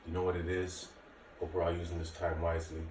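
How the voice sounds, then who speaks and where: A man's voice: low voice, completely flat delivery, quick pace, a little mellow. A man in his late thirties giving casual, easygoing workout instruction to camera as a fitness instructor. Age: late 30s. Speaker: martial arts and fitness instructor. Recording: echoey room, distant mic, faint noise.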